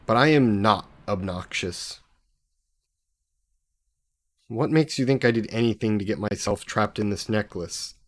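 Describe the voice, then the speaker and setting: A man's voice: on the low side, no room sound. A man playing a sassy, possessive, centuries-old demon in an audio roleplay, speaking haughtily and sardonically in formal wording. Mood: indignant. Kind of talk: scripted audio roleplay addressed to the listener.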